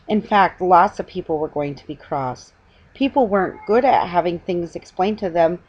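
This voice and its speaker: A middle-aged woman reads a children's storybook aloud with a husky voice, stumbling now and then and correcting herself. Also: lowish voice, a little mellow. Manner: halting reading with stumbles and self-corrections. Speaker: reader. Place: small living room at home, indoors. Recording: dull lo-fi webcam sound.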